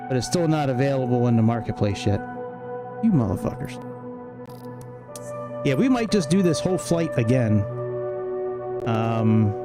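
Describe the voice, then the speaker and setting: Foul-mouthed man streaming over loud music. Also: medium pitch. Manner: foul-mouthed, self-deprecating grumbling. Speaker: flight sim streamer. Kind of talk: casual livestream gameplay commentary.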